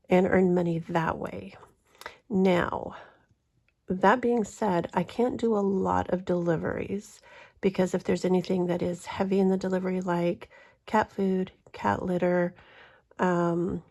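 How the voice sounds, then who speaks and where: A woman's voice: medium pitch, vocal fry, mellow. A woman with a stuffy voice from a cold, which cuts in and out, chatting casually and rambling with frequent ums as host of her budgeting channel. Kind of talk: casual vlog chat.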